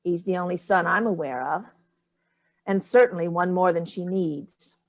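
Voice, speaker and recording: A woman's voice: lowish voice, moderate pace, close to an everyday voice. An older woman playing a haughty, evasive elderly mother in a staged play reading, dismissive and theatrical with barbed remarks. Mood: breezy, defensive.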